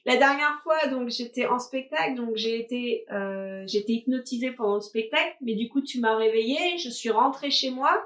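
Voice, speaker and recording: Chatty woman with a high, echoey voice. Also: moderate pace, few pauses, fairly bright tone. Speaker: artist and live-stream host. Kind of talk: casual chat on a live stream.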